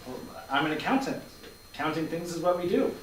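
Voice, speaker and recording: A man playing a bemused, hesitant accountant, awkward and halting, in a staged play reading. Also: a little high, expressive, natural voice. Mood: matter-of-fact. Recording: echoey hall from far off, faint noise.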